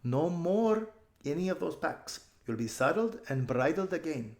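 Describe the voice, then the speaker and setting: A man voicing a firm, practical young girl, lively. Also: fairly high pitch, unhurried, reverberant, voice pushed up. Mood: brisk, instructing. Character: determined, practical, brisk young noble girl. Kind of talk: children's storybook character voice.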